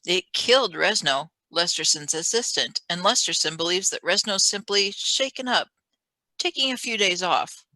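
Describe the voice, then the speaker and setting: Woman as a cool, bright-voiced schemer. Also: on the low side, lively intonation, moderate pace, no room sound, low-quality recording. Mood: conspiratorial. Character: cool, scheming female lab assistant and conspirator. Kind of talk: radio play script reading.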